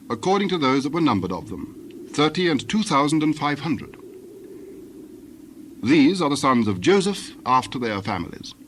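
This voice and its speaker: A man serves as narrator for a dramatized Bible. His mid-pitched voice recites in a formal, measured, scripted way. Clear ambient noise sits behind the narration.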